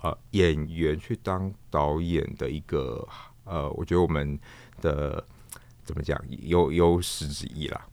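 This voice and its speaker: A man with a Taiwanese accent answering interview questions thoughtfully and haltingly, repeating himself as he searches for words. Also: very deep voice, steady tone, gravelly, a little mellow, no echo. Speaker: guest, theatre performer, puppeteer and director. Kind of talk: reflective interview conversation.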